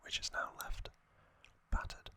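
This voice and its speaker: An ASMR host whispering a recap in a breathy, unvoiced whisper, in short halting phrases with pauses. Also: bright, forceful tone. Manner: slow, halting, pausing between short phrases.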